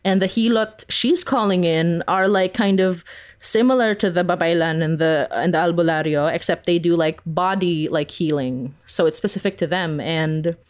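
A woman's voice: on the low side, normal speed. A woman from the Philippines chatting casually as an interview guest, telling stories in a giggly way peppered with "like". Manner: animated storyteller, uses "like" constantly, giggly. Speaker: podcast creator, interview guest.

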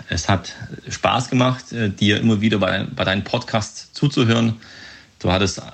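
A man giving a recorded congratulatory greeting in a measured, courteous manner. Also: fairly low pitch, gravelly, breathy edge. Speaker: guest sending a congratulatory message.

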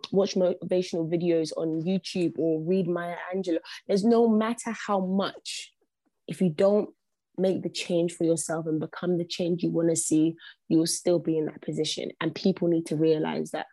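A woman's voice: fairly low pitch, dark tone, no room sound. A young woman, a podcast guest whose first language is not English, speaking earnestly and repeating phrases for emphasis. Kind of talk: reflective podcast conversation.

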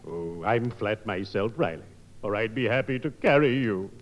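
An actor playing a solemn, courteous undertaker with a mournful, morbidly droll manner in a 1950s radio sitcom. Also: male voice, on the low side, expressive, normal speed, close to an everyday voice. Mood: mournfully droll. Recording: muffled, noisy old radio broadcast.

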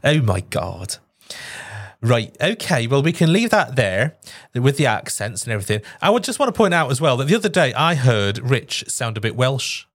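A man's voice: medium pitch, moderate pace. A man hosting a casual podcast, moving between topics and laughing easily with his guests. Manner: steers topics, laughs often, expressive.

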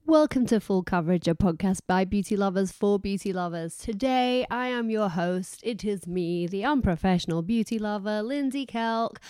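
A woman's voice: mid-range voice, normal speed, hardly any pauses, darkish tone, clear, resonant tone, dry recording, clean studio sound. A woman hosting a podcast from home, chatty and self-deprecating, who is losing her voice. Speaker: podcast host. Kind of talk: casual podcast chat.

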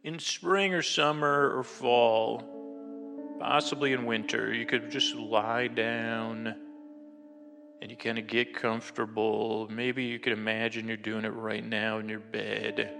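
Gravelly rambling man in heavy reverb. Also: medium pitch, steady tone. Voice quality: gravelly, creaky. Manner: meandering, halting, whimsical, with stumbles and self-corrections. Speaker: podcast host and bedtime storyteller. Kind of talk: rambling improvised bedtime storytelling. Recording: long reverb, loud music over voice. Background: loud music.

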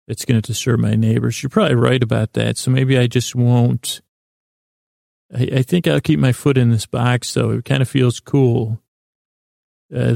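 A man with a gravelly, mellow voice delivers a meandering comic bedtime monologue as a podcast host. His pitch stays fairly flat while he stammers, halts and drifts into tangents. The sound is close and dry. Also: dry recording. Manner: meandering, halting, stammering, trails off into digressions. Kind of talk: rambling improvised bedtime comedy monologue.

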